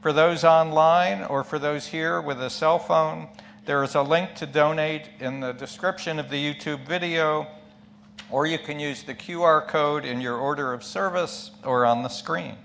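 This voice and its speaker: A man's voice: on the high side, fairly even intonation, normal speed, creaky, a bit bright, a little hiss or hum. A man leading a worship service, giving announcements and reading the offering words to a congregation.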